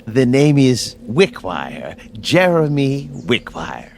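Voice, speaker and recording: A man's voice: mid-range voice, huge pitch swings, slow pace, gravelly, darkish tone, slight background noise, heavily acted. A man acting in a radio drama as a genteel, fussy, unflappable caretaker with courteous, old-fashioned manners. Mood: genial.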